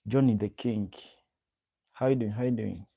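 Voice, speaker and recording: A man's voice: on the low side, normal speed, vocal fry, dark tone, breathy edge, no echo. A young man from Uganda hosting a casual livestream, greeting viewers by name and repeating his questions. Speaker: livestream host. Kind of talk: casual livestream chat reading comments.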